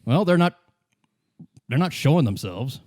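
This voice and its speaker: A man voicing a tough, loyal union vigilante leader in an improvised tabletop game, blunt, defensive and halting. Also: a little high, sing-song, a little mellow. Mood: defiant.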